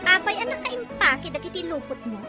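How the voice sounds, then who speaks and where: A woman's voice: extremely high pitch, big pitch swings, fairly quick, over music. A woman acting a bitter, aggrieved young wife in an Ilocano radio drama, complaining and scornful in an Ilocano accent. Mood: angry, scornful.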